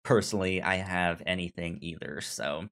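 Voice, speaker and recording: A man hosting a casual review chat, speaking haltingly and often repeating or restarting his words. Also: deep voice, vocal fry, fairly bright tone. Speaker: podcast host.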